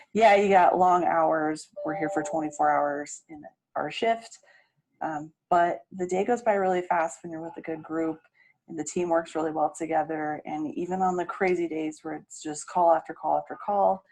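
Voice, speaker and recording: A woman's voice: low-pitched, darkish tone, low-quality recording. A woman dispatcher explaining the job and workstation in a conversational, matter-of-fact way. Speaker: emergency dispatcher.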